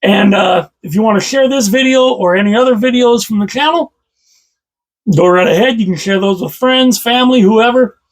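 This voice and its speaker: A man with a slightly hoarse voice, chatting casually about hockey as a channel host, rambling and repeating himself with enthusiasm. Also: high-pitched, normal speed, low-quality recording. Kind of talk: casual solo commentary to camera.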